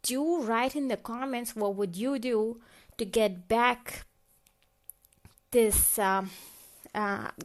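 A woman's voice: a little high, slow, long pauses. A woman telling an embarrassed comic story, hesitant and full of fillers and restarts. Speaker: guest storyteller. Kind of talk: confessional comic monologue.

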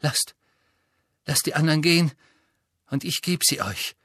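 A man's voice: a little high, animated, a little slow, fairly bright tone, breathy edge, no echo, studio quality, exaggerated voice. A man voicing a desperate young teenage boy hero who speaks urgently and pleadingly.

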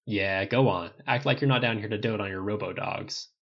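A man's voice: on the low side, moderate pace, gravelly, highs cut off. A man voicing a caring military man, a best friend with a dry, teasing manner.

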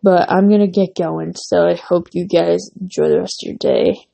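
This is a young woman hosting her own casual podcast, drifting from thought to thought with lots of fillers. Her voice is husky and dark, with a gravelly edge, and she sounds stuffy and worn, as though she's losing it. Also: on the low side, steady tone, normal speed. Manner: rambling, lots of fillers and restarts. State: losing her voice, runny nose. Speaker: podcast host. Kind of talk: casual solo podcast chat.

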